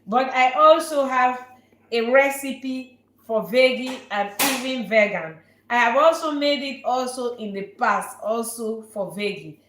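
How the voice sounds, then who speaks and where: A woman chef talks warmly and a little repetitively as she hosts a live cooking stream. Her voice sits a touch high, and it rings in an echoey kitchen room. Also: a little slow, noisy background. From Nigeria. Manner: warm, repetitive, answers viewer questions. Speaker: chef and channel host. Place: restaurant kitchen.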